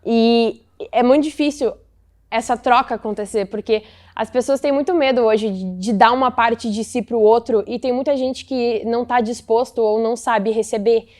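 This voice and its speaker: A nineteen-year-old woman, answering interview questions about a photo book she co-created in a thoughtful, measured way. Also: a little high, fairly even intonation, a little mellow.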